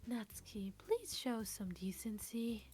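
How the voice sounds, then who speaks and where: A woman voicing a proper, gloomy, bookish teenage girl in a visual novel, soft and breathy with formal, hesitant phrasing. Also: fairly high pitch, big pitch swings, unhurried, soft background music. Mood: grave, reserved. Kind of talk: visual novel character dub.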